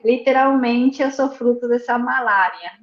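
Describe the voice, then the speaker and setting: A woman's voice: a little high, normal speed, roomy sound, muffled recording. Chatty woman with a slight Argentine accent. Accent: slight Argentine Spanish. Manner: lively, laughs often, repeats words. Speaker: guest. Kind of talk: casual storytelling interview.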